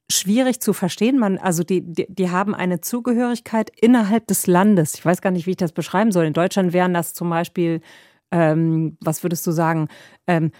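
A woman's voice: fairly low pitch, no room sound, clean studio sound. Chatty woman telling travel stories. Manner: lively, chatty, rambling with fillers and laughs. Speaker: travel reporter. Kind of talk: casual storytelling conversation.

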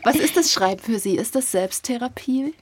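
A woman's voice: a little high, lively intonation, moderate pace, slightly rough, no echo. A woman interviewer asking fluent, well-formed questions in German. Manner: fluent, articulate, leading questions. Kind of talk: interview host.

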